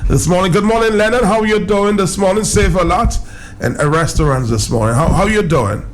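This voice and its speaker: A man's voice: high voice, fairly quick, a little hiss or hum. A man hosting a radio talk show, nasal and congested, with stammering restarts. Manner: stammering restarts, lively intonation.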